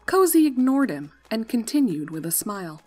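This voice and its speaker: A woman's voice: a little high, big pitch swings, moderate pace, darkish tone. A woman reading scripted fan-fiction story narration as the narrator. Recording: music under the voice.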